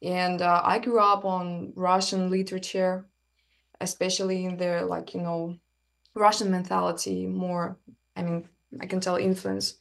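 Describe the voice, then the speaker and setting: A woman's voice: fairly low pitch, little pitch movement, measured pace, creaky. A young adult woman, giving casual, hesitant answers as an interview guest, searching for words.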